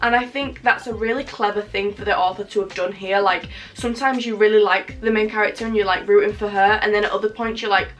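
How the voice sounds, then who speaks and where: A young woman talks through her recent reads in a chatty, gushing way, filling her sentences with "like" and "really, really". Her voice is going hoarse. She speaks at an average pitch for a woman. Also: fairly even intonation, fast, hardly any pauses, fairly bright tone. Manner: chatty, gushing, lots of fillers. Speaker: book vlogger. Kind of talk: casual book review chat.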